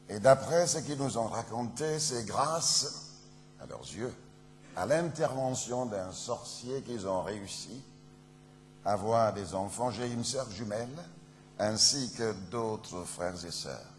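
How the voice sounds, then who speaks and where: A man from Ghana, a pastor, giving a solemn testimony in a sermon. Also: mid-range voice. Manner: slow, solemn storytelling delivery. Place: church. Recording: reverberant church hall, faint hum.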